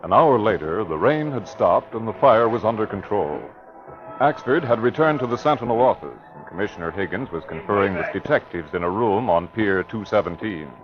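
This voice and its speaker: A man narrating a 1940s radio drama in a measured, dramatic way. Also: fairly low pitch, expressive, over music. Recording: dull old radio broadcast.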